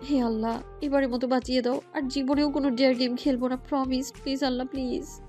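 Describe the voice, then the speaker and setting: A woman plays a young female university student with a high voice. She is feisty and hot-tempered, and she grumbles with theatrical indignation. It is a dramatic audio story performance. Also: level tone, fast, darkish tone, faint music behind, lightly acted. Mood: panicked pleading. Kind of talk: Bengali audio story acting.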